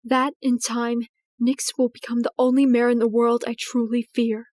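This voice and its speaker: A woman voicing a regal, protective pony princess in an audio drama, speaking formally and earnestly with measured, anxious gravity. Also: a little high, level tone, darkish tone, dry recording, natural voice. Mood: anxious, pleading. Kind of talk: dramatized audio fiction reading.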